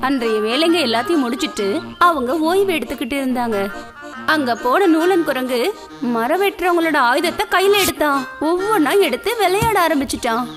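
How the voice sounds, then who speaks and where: A woman's voice: high voice, lively intonation, brisk. A woman narrating a scripted moral story for children as its storyteller. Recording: music behind the narration.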